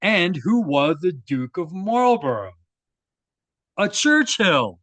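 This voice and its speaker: A man's voice: sits high, sing-song, slow pace, fairly bright tone, no echo. A man narrating a video, reading an article aloud and adding informal, opinionated commentary with rhetorical asides.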